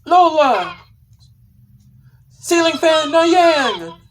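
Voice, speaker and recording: A man voicing an exclaiming meme character who calls out to a grandma in loud, drawn-out shouts. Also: very high voice, very animated, pitched above the performer's own voice, exaggerated voice. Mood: loud, excited.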